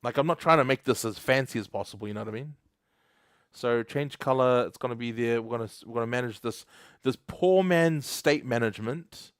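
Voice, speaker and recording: A man's voice: medium pitch, a little fast, clear, resonant tone, no room sound, studio quality. Chatty New guy coding live. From New Zealand. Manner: chatty, laughs often, reads chat aloud. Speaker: livestream host. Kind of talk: casual live coding stream.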